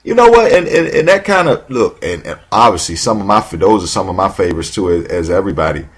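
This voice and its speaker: A man's voice: low-pitched, big pitch swings, fast, breathy edge. A man hosting a talk show, rambling with lots of restarts and casual slang. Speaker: radio show host.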